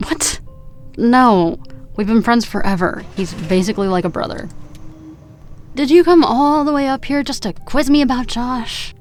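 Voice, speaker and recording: A woman's voice: a little high, moderate pace, faint music behind, lightly acted. A woman playing a hurt, stubborn young woman with a dry, pointed manner, in naturalistic audio drama style. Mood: exasperated, guarded.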